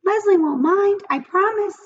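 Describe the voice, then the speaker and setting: Woman voicing a high, wheedling little storybook creature. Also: normal speed, highs cut off, a little hiss or hum. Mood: coaxing. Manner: cajoling, whiny. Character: pleading, wheedling little talking pigtail. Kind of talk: children's storybook character voice.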